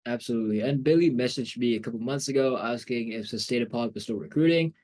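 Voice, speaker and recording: A man's voice: medium pitch, fast, darkish tone. A young man hosting a student podcast in an upbeat casual style, often saying "absolutely". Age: young adult. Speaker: podcast host.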